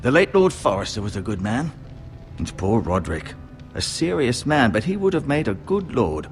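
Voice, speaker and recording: An actor playing a grave older castle healer in a fantasy game, speaking in a measured, formal, musing manner with dry gravity. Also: male voice, mid-range voice, big pitch swings, faint music behind. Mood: mournful, musing. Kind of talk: dramatic video game acting.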